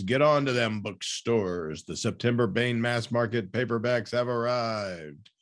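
A man with a fairly low voice hosts a book podcast. He moves between scripted announcements and interview talk in the role of presenter. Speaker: podcast host.

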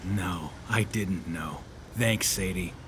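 An actor playing a tough, world-weary detective in a period audio drama, with terse, blunt delivery. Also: male voice, sits low, slow, noisy background. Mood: terse, businesslike. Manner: terse, hardboiled. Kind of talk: hardboiled period radio-drama acting.

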